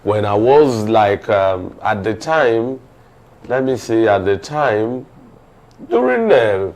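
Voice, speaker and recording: A man in his forties with a Nigerian accent speaks as a guest on a TV panel, in deliberate, formally worded phrases. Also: fairly low pitch, very animated, darkish tone. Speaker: studio guest commentator. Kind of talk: TV panel discussion.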